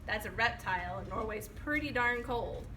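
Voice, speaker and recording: A woman's voice: on the high side, expressive, normal speed. A woman, a children's author, talking informally and encouragingly to a group of young children, in a conversational way. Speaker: children's author giving a reading. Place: public library, indoors with a group of children. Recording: noisy room, far from the mic. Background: clear room noise and children.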